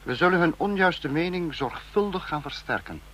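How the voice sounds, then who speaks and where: An actor playing a cold, authoritarian state leader in an old radio play, speaking in a clipped, lecturing, imperious manner. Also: male voice, a little high, lively intonation, normal speed, sharp tone. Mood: stern, businesslike. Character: cold authoritarian male supreme leader of a fictional police state.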